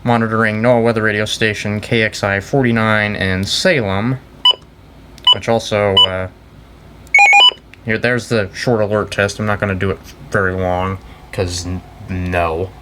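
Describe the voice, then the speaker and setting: A young American man giving a casual, off-the-cuff show-and-tell, talking in a halting, rambling way and often correcting himself. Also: fairly low pitch, little pitch movement. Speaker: hobbyist video creator. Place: indoors in a house. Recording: noisy room with air-conditioner hum.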